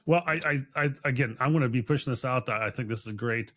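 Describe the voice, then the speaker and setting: A man's voice: mid-range voice, quick pace, a bit bright. A man hosting a podcast in casual interview chat, effusive and rambling, often repeating himself. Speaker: podcast host.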